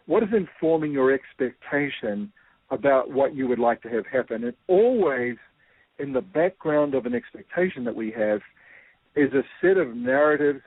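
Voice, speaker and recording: A man's voice: mid-range voice, no echo. A man with a New Zealand accent, a guest explaining ideas through a personal story, thoughtful and full of fillers. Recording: tinny phone-like line.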